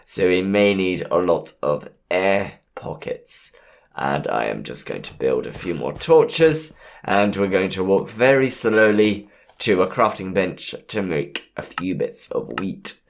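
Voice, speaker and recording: A man with a hoarse voice from a cold, easygoing and punny as he plans his moves aloud while commentating a game. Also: deep voice, sing-song, slow, a little hiss or hum. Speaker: gameplay commentator. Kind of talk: let's play commentary.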